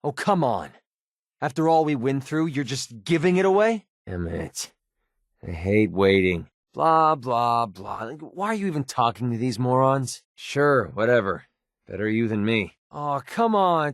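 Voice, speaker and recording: Young American gun-for-hire, video game companion, cynical, sarcastic, slangy, mid-pitched, dry close recording. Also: male voice, dry recording. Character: cynical, wisecracking young male mercenary for hire.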